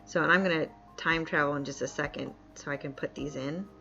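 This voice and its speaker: A woman's voice: low voice, steady tone, brisk, sharp tone. Chatty woman streamer, lo-fi mic. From upstate New York. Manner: chatty, laughs often, reads chat aloud. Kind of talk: casual live-stream chat while playing. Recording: dull lo-fi mic with faint music.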